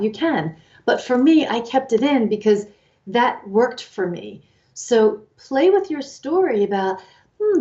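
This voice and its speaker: A woman in her fifties co-hosting a casual advice chat, explaining ideas in an animated way and acting out the inner voices she quotes. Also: a little high, muffled recording. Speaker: co-host, life coach.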